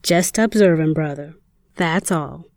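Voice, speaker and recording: Woman playing teasing sister, lowish voice. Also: measured pace, darkish tone, no room sound, clean studio sound. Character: teasing, observant young sister. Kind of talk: audio drama dialogue.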